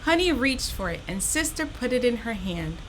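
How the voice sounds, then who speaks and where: A woman hosting a children's storytime, reading aloud and speaking directly to young listeners in an animated, expressive, encouraging way. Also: on the high side, moderate pace, slight background noise, poor audio.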